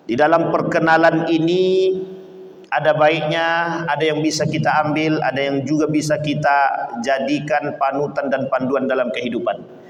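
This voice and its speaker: A man's voice: fairly high pitch, reverberant, big-room sound, muffled recording, slight background noise. A man giving an impassioned Islamic sermon at a dawn gathering. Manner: impassioned preaching, then measured chanted Arabic prayers. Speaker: Islamic preacher.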